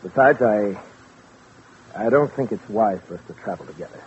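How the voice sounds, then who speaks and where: A man plays a smooth schemer posing as a loyal pal, reassuring and quick to take charge. It is vintage radio drama, with a thin, phone-like sound and light noise. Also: on the low side, darkish tone, lightly acted. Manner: persuasive, reassuring, takes charge. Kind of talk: 1940s radio drama acting. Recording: noisy, tinny vintage radio recording.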